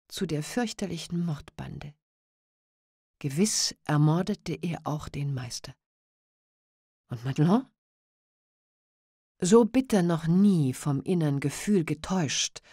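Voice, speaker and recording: A woman narrating a classic novella as an audiobook, in a measured, unhurried storytelling manner. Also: fairly low pitch, warm tone, no echo, studio quality.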